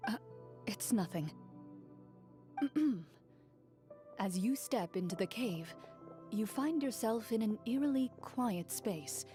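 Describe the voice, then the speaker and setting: A woman voicing a calm, reserved young woman who narrates a tabletop adventure in a composed, measured way. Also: a little high, soft background music. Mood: narrating. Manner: composed, measured, quietly watchful. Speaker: game master narrating a tabletop story. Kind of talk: scripted narration.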